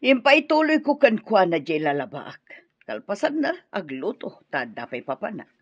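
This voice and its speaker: Demanding older mother-in-law, callous, medium-pitched, Ilocano accent, radio drama with music, dull dry sound. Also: big pitch swings, moderate pace, breathy edge, no room sound. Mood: bossy.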